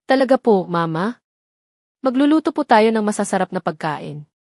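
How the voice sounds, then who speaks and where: A woman's voice: medium pitch, expressive, moderate pace, a little mellow, dry recording, studio quality. Woman as an innocent, curious little boy. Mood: curious, eager. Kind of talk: dramatic audio story dialogue.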